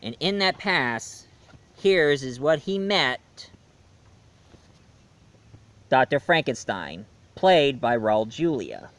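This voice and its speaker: A man's voice: mid-range voice, big pitch swings, slow, long pauses, a bit bright. A man giving a casual spoken film review, chatty and jokey as he retells the plot.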